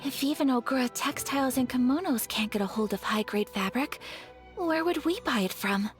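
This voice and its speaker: High-voiced, refined young noblewoman. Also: expressive, normal speed, soft background music. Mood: polite. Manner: formal, courteous, composed. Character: polite, refined young noblewoman, gentle and dutiful. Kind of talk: video game character dialogue.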